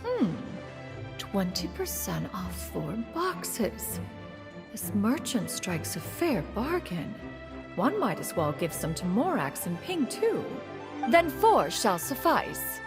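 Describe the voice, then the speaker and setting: Proud female sage, aloof, archaic phrasing, lively intonation, video game character. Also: medium pitch, slow pace, over music. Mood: musing, reserved. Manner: formal, archaic, aloof speech, saying "one" for herself. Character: proud, haughty ancient female scholar-inventor posing as mortal.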